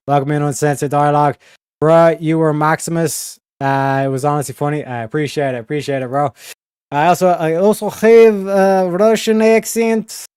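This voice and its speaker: A man's voice: fairly high pitch, darkish tone, clean tone, no echo, clean studio sound. A man hosting a late-night livestream, chatting casually to his viewers in a rambling, slangy, profane way, full of fillers. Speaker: livestream host.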